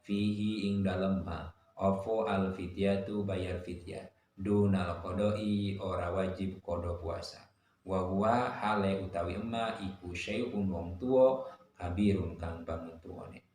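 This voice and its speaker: Deep-voiced man teaching in an echoey room. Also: a little mellow. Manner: recites Arabic phrases, then glosses them word by word in a measured cadence; poses and answers his own questions. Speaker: Islamic religious teacher. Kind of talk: religious lesson with classical text reading. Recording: very echoey room, faint noise.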